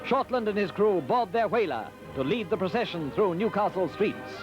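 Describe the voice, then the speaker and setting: A man's voice: high voice, lively intonation, moderate pace. A man reading formal, declamatory, celebratory 1940s newsreel narration on an old, dull soundtrack over music.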